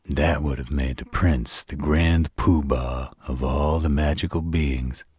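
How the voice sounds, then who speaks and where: A man playing an arrogant, flirtatious vampire in a roleplay audio drama, with a husky voice and a smug, taunting delivery. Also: fairly even intonation, darkish tone, no room sound, exaggerated voice. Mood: wry, reflective. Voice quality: very deep, husky, rough. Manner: smug, taunting, slow drawl. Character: arrogant, teasing, flirtatious adult male vampire. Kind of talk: roleplay audio drama monologue addressed to the listener.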